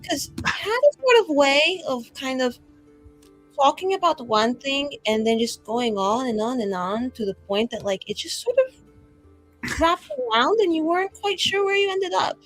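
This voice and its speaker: A woman's voice: high-pitched, lively intonation, normal speed, soft background music, rough recording. A woman voicing a candid, casual young woman in tabletop roleplay, rambling evasively in loose everyday phrasing. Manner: casual, rambling, filler-heavy. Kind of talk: improvised in-character tabletop roleplay.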